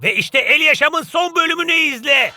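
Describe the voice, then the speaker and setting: An actor playing a vain young cartoon football star with a cocky, melodramatic manner. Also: male voice, very high-pitched, expressive, moderate pace, bright, forceful tone, no room sound, heavily acted. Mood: pleading.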